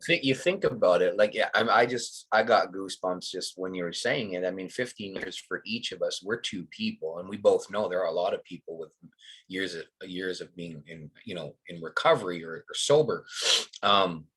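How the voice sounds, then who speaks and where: A man in his forties with a very low, husky voice, talking as a podcast guest. He is reflective and loose, often restarting his sentences as he thinks aloud. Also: brisk, a bit bright, low-quality recording. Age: 40s. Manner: reflective, rambling with many restarts. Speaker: coach, guest. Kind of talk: casual podcast conversation.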